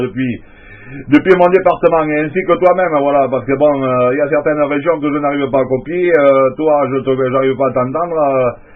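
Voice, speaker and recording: A man on a CB radio contact, talking in a rambling way with many fillers. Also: on the high side. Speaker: CB radio operator. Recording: tinny radio link.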